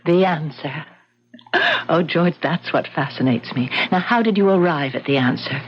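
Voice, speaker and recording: Actress as low-voiced, sweetly probing wife. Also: female voice, a little hiss or hum, close to an everyday voice. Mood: sweetly insinuating. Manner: genteel, deliberate, insinuating. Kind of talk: old-time radio drama acting. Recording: muffled old radio recording.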